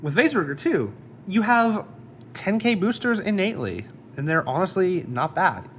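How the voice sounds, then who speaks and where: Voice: mid-range voice, very animated, normal speed, fairly bright tone, slight background noise. A card game deck reviewer with a slight cold, casually explaining card effects one by one.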